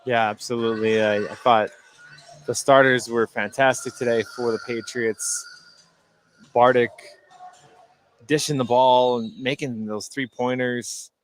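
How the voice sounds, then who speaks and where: A man's voice: medium pitch, steady tone, unhurried, pauses often, poor audio. An American man giving conversational color commentary on a live basketball game. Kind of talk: conversational live sports analysis. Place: indoor gym at a basketball game.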